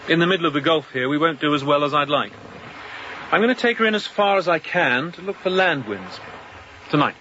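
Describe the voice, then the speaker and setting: An actor playing a young ship's captain in a radio drama, speaking in a curt, commanding, sharp-tongued way. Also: male voice, a little high, expressive, fairly quick. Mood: stern, commanding. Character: stern young newly appointed sea captain. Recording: dull muffled audio, outdoor ambience noise.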